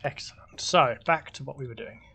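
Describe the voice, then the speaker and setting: A man giving relaxed, casual gameplay commentary, talking to himself as he builds, his voice a little croaky. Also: mid-range voice, fairly quick. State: slightly croaky voice. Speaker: gaming video host.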